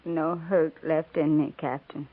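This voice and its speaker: An actress playing a wistful, plainspoken American frontier wife in a 1950s radio western, with drawn-out rustic phrasing. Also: female voice, low voice, level tone. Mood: wistful, forgiving. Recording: narrow-band 1950s radio recording.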